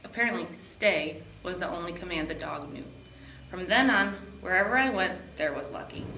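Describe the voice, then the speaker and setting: A woman's voice: lowish voice, animated, fairly quick, bright, forceful tone. Woman reading a storybook, echoey room. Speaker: park district program supervisor reading to children. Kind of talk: children's storybook narration. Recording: echoey room with background noise.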